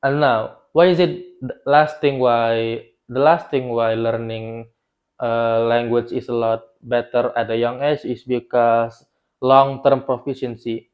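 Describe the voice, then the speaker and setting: A man's voice: medium pitch, little pitch movement, unhurried. Young Indonesian man explaining with restarts. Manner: loose, unscripted, with frequent restarts and fillers. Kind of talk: explainer video on language learning. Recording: slightly dull lo-fi sound.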